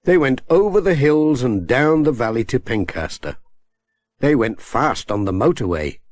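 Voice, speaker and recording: A man with a British accent narrating a children's story in a measured, expressive storytelling manner. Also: mid-range voice, dry recording. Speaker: audiobook narrator.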